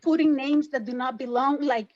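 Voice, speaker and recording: A woman's voice: sits high, steady tone, moderate pace, highs cut off. A woman from El Salvador with a Salvadoran Spanish accent, speaking insistently and defensively as a public commenter at an online town hall. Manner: insistent and defensive, repeats points.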